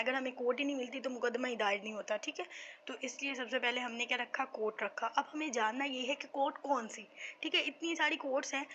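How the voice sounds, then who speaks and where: A woman's voice: a little high, pushed and bright, a little hiss or hum. A woman with a Pakistani accent giving an informal explanatory lecture as a teacher, conversationally asking questions and answering them herself. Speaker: law teacher.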